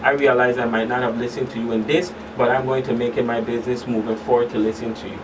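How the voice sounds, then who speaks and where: A man explaining things in a measured way as the expert guest on a radio talk show. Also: medium pitch, level tone. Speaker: guest expert, professional counselor. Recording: distant mic, clearly noisy background.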